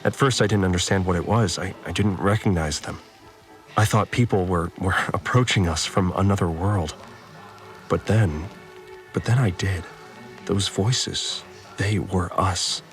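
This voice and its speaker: A low-voiced farmer confides in an audio diary for a science fiction drama. He is troubled, devout and obedient, halting and repeating words as he confesses. Music plays clearly beneath him. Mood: awed, halting.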